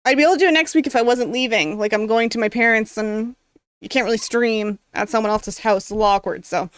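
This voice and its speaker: A woman's voice: on the high side, quick pace, clear, resonant tone, no echo. Woman streamer losing her voice. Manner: casual, rambling, heavy on fillers. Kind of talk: reading a visual novel aloud and chatting with viewers.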